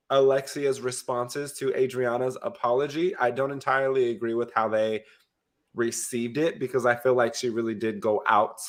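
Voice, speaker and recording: A man co-hosting a casual reality TV recap podcast, stuffed up from a cold, blunt and opinionated in a playful way. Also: mid-range voice. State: stuffed-up nose. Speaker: podcast co-host.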